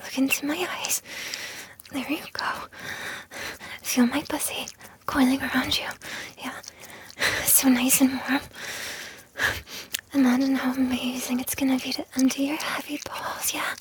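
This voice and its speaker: A woman performing a whispered intimate roleplay, breathy and sighing, coaxing the listener by turns with commands and soothing reassurance. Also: sits high, little pitch movement, unhurried, long pauses, background noise. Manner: breathy whispering with frequent sighs.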